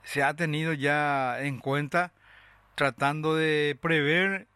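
A man hosting a radio show, reading the news and chatting with callers in a measured, explanatory way with many tag questions. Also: a little high, fairly even intonation, sharp tone, clear, resonant tone, no room sound. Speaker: radio host.

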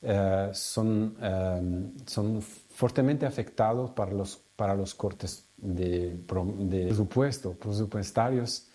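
A male researcher speaking in a halting way, with many fillers and restarts. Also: lowish voice, mellow. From Italy. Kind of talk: interview remarks.

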